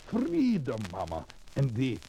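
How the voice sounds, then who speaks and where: An actor playing a poor immigrant man from Europe with broken English, halting and stumbling as he speaks, in 1940s radio style. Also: on the high side, wildly swooping pitch, a little mellow, a little hiss or hum, full character voice. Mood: hopeful.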